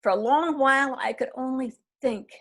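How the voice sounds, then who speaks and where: A woman reading a husky, wistful aging lady. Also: high voice, normal speed. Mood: fervent. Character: aging woman pining for a lost love. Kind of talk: readers theatre reading.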